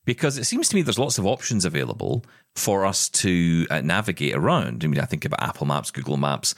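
Low-voiced man chatting. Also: very animated, fairly quick, no echo, studio quality. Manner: chatty, jokey, acts out quoted exchanges. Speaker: podcast co-host. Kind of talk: casual chat. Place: spare room at home. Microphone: remote recording.

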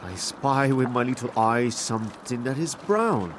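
A laid-back, slightly dim male sidekick, played by a man in a comic audio drama. His mid-pitched voice moves around a lot, over clear water and boat noise. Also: animated, measured pace, lightly acted. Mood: playful. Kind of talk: audio fiction comedy acting.

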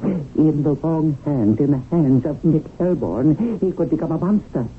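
A man's voice: fairly high pitch, expressive, measured pace, narrow, tinny audio. An actor playing a stern, exacting heavenly supervisor in a radio drama, dramatic and urgent in his alarm. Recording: thin radio broadcast, faint hiss.

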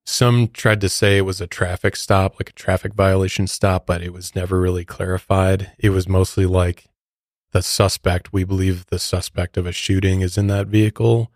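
A man's voice: sits low, little pitch movement, moderate pace, a little mellow, dry recording, clean studio sound. A man with an American accent casually discussing true crime as a podcast co-host.

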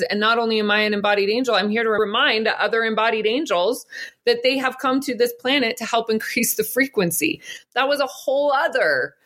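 A middle-aged woman answers interview questions in an earnest, flowing way. She speaks at a mid pitch and often laughs. She sounds like a podcast guest sharing her experiences. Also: a bit bright. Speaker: podcast guest, psychic and business coach. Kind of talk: spiritual interview talk.

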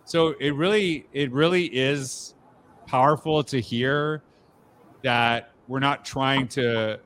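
A man hosting a podcast, speaking in a relaxed, reflective way and often restarting his sentences. His voice sits at a middle pitch. A faint ambient hum runs beneath it. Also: slow. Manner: conversational, reflective, many fillers and restarts. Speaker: podcast host. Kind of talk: casual interview chat.

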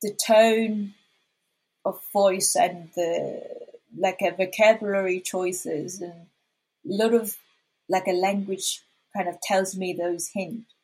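A woman from Korea with a Korean accent, whose first language is Korean, answering interview questions as a freelance designer, halting with many fillers and restarts. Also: mid-range voice, fairly even intonation, darkish tone, breathy edge, low-quality recording.

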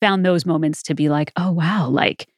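A woman podcast co-host speaks in a somewhat low register with clear creak in her voice. She chats casually, reflecting through personal anecdotes. The recording is close and dry. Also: normal speed, no echo. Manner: conversational, anecdotal. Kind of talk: casual chat, reflecting on the show.

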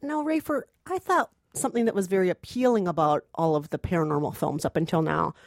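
Lower-pitched woman reviewing films. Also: brisk, vocal fry, dry recording. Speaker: film review podcast co-host. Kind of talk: casual film review chat.

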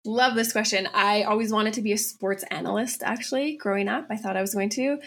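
A young woman from Canada, a copywriter guest on a podcast, talking in a relaxed, chatty way. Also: mid-range voice, brisk. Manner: relaxed, chatty, lots of fillers. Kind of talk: casual interview chat.

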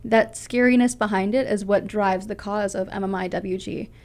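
A woman's voice: medium pitch, fairly even intonation, moderate pace, darkish tone. A young woman from Canada, a public speaker answering interview questions in an earnest, passionate way with a big voice. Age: young adult.

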